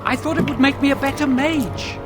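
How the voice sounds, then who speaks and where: Desperate young mage, high-pitched. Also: male voice, brisk. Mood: pleading. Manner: earnest, pleading. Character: desperate, pleading young male apprentice mage. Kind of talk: fantasy video game acting. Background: music.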